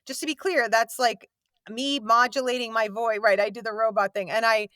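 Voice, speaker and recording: A woman voice actor chatting in an interview, rambling and self-deprecating, with a warm voice that has a slight gravel to it. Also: a little high, steady tone, normal speed, dry recording, clean studio sound. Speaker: voice actor guest. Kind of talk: casual interview chat. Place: home recording booth.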